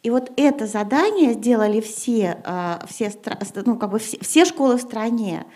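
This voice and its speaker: A woman's voice: fairly high pitch, animated, normal speed, mellow. A middle-aged woman teacher speaking at a panel discussion before an audience, hesitating with many fillers and then pressing her points with emphasis. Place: gymnasium hall in front of an audience.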